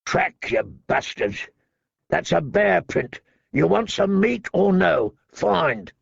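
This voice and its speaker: A man's voice: sits high, expressive, slow, creaky, slightly rough, no room sound, dull audio, voice pushed up, heavily acted. A man voicing a bitter, resentful kennel hand in an audiobook, snarling and sour. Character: bitter, boil-faced male kennel hand.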